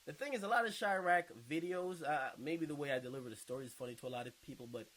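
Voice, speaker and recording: Man, fairly high-pitched, casual video host, slangy, sweary, conversational commentary. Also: fast talker, fairly bright tone, poor audio. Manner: informal, slangy, swears freely. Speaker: online video host and podcaster. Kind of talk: casual commentary, reading and reacting to viewer comments.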